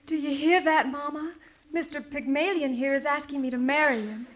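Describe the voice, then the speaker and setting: A woman's voice: high voice, fairly even intonation, normal speed, close to an everyday voice. An actress playing a spirited, romantic young shop girl in a theatrical 1950s radio musical. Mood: pert. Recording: noisy vintage radio, music and audience.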